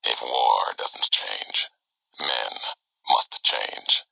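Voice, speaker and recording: A grave, battle-worn wandering courier for a video game. His voice is gravel-thick and husky, with a forceful edge. He speaks in measured, cryptic fragments, brooding and philosophical throughout. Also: a bass voice, fairly even intonation, dry recording, heavily acted, poor audio. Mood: solemn, foreboding. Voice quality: gravelly, breathy rasp. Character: solemn, weathered wandering former Legion courier, brooding and philosophical. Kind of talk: video game character monologue.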